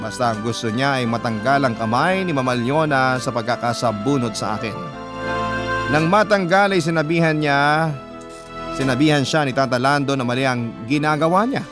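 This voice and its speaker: A man's voice: mid-range voice, moderate pace. A man with a Filipino accent reading a listener's letter as a radio host, in dramatic scripted narration. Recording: music bed behind voice.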